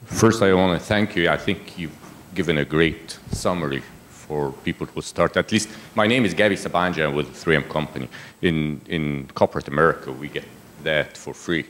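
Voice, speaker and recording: A man in the audience making a friendly, slightly halting comment to the speaker. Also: rumbling low, fairly bright tone, a little hiss or hum. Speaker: audience member. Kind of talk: comment from the floor.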